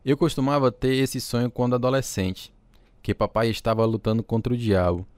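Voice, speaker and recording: A man's voice: medium pitch, steady tone, a little fast, darkish tone, no room sound, close to an everyday voice. An actor with a Brazilian accent playing an earnest, reflective pastor telling his life story in a radio drama. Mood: earnest, tender. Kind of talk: radio drama narration and dialogue. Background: guitar music.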